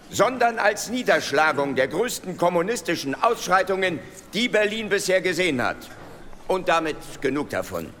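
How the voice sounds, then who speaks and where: Pompous police boss speech, radio drama, bright hard voice, big hall reverb. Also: male voice, on the high side. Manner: formal, oratorical. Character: pompous, stern police president addressing his officers. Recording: long echo of a large hall.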